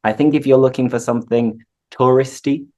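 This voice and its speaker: A young man from Yorkshire with a mild, neutral Yorkshire accent, hosting a relaxed interview as an English teacher and using many "uh" fillers. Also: mid-range voice, a little fast. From Yorkshire, England. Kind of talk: casual interview.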